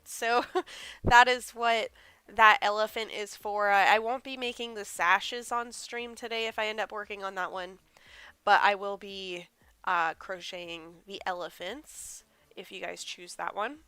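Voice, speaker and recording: Stuffy-nosed lady rambling on her crochet stream, bright clear voice, dry close sound. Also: mid-range voice, fairly even intonation, unhurried, dry recording. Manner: chatty, rambling with fillers. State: congested from a cold. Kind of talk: casual live-stream chat.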